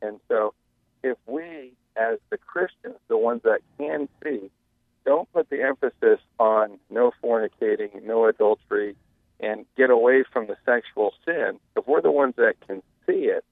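A man's voice: medium pitch, a little slow, no echo. Earnest male caller on a tinny phone line. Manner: earnest, preachy, builds long questions. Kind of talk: caller on a radio talk show.

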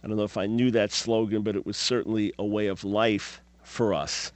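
A man's voice: fairly low pitch, little pitch movement, moderate pace, no echo. A man in his fifties hosting a radio talk show, speaking emphatically and asking rhetorical questions. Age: 50s.

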